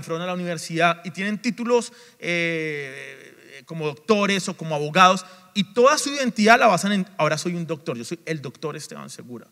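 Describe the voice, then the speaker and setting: A man's voice: high-pitched, bright, forceful tone. A male pastor preaching a sermon, emphatic, repeating refrains and asking the congregation questions. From Latin America. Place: church sanctuary. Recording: long church reverb.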